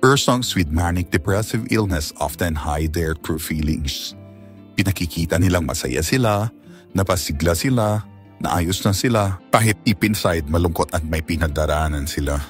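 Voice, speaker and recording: A man playing a calm physician in a Filipino audio drama, explaining gravely in a clinical, measured way over music. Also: low-pitched. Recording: music bed under the voice.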